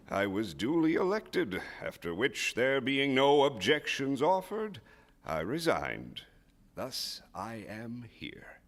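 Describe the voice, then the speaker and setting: An actor playing a folksy, chatty train conductor, spinning a yarn in colloquial speech in a live radio play. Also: male voice, mid-range voice, a bit bright. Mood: mock-solemn. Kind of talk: live radio drama acting. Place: theater stage before a live audience.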